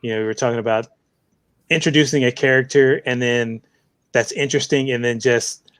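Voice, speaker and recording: A man's voice: mid-range voice, fairly even intonation, a little fast, fairly bright tone, smooth, no room sound. A man co-hosting a casual chat, talking hesitantly and searching for his words. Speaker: podcast co-host.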